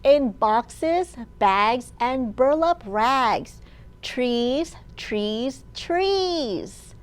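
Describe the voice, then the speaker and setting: A woman librarian hosting a children's storytime, reading aloud in simple, deliberate phrases meant for young children. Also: on the high side, animated.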